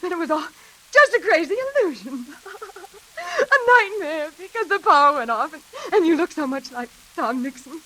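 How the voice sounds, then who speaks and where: An actress playing a hysterical, guilt-ridden woman in a melodramatic 1940s radio suspense play, gasping and halting as she speaks. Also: high-pitched, lively intonation, bright, forceful tone, rough recording. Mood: shaken relief. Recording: hissy old radio recording.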